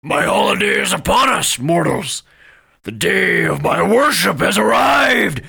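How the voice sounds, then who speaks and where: Comedy podcast creature: crude, pompous pig demon demanding worship, harsh and bright, slow, raspy delivery. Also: male voice, high voice, lively intonation, heavily acted. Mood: bombastic, boastful. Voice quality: raspy, gravelly. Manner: pompous, shouting, foul-mouthed. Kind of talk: comedy podcast character acting.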